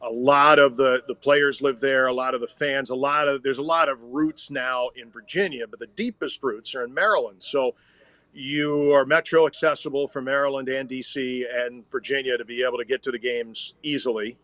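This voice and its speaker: An American man speaks as a guest sports announcer in a bright, forceful voice of medium pitch. The answers are conversational and the sound is dry, with very little room. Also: fairly even intonation, normal speed, no echo. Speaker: guest, hockey radio play-by-play announcer.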